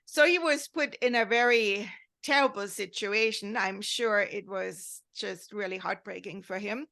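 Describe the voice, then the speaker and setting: A woman's voice: mid-range voice, unhurried, creaky, bright, forceful tone. A woman from Germany with a German accent, telling a story in earnest, rambling podcast talk and often checking in with "right?". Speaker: podcast host.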